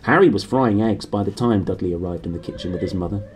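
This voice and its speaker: A British man in his thirties reading a storybook aloud briskly and expressively to a camera in a small room. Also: fairly low pitch, a little hiss or hum. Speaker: reader. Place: small decorated room indoors.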